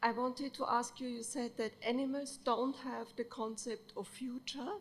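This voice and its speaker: A woman's voice: a little high, steady tone, moderate pace. A woman biologist in the audience asking a short, direct question. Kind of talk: question from the floor.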